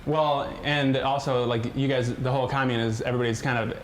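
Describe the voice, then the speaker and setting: A man's voice: medium pitch, flat delivery, fairly quick, slight background noise. A man in his thirties hosting a TV interview, playful and bantering. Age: 30s. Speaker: TV host. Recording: roomy echo.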